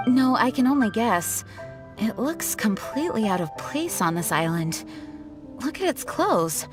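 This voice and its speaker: Composed, earnest knight commander. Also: female voice, fairly high pitch, expressive. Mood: analytical. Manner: measured, formal, earnest. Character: composed, dutiful young woman knight commander, vigilant. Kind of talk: video game character acting. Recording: music behind the voice.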